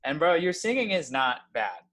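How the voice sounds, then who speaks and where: A man judging a music challenge over a video call, speaking in halting, repeated phrases and full of praise. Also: on the high side, very animated. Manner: warm-hearted, halting, repeats himself. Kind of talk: casual video-call chat.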